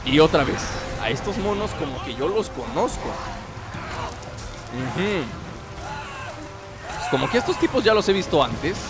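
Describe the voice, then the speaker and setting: Stuffy-voiced Mexican man, lively gameplay banter. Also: fairly high pitch, measured pace, pauses often. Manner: playful, jokey, bursts into excited shouts. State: stuffy from a cold. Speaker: gameplay commentator. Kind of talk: casual let's-play commentary. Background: game music.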